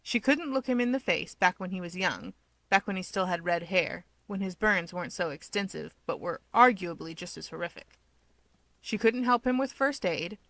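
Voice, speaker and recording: A woman reading a scripted story aloud as an expressive narrator. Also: on the low side, moderate pace, no echo. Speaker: fiction narrator.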